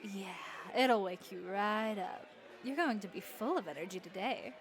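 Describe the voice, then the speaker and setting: A woman's voice: medium pitch, normal speed, a crowd in the background, natural voice. A woman playing a friendly, worldly vampire innkeeper in a comforting audio roleplay, chatty and encouraging with a wry, folksy way of talking. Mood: hospitable, playful.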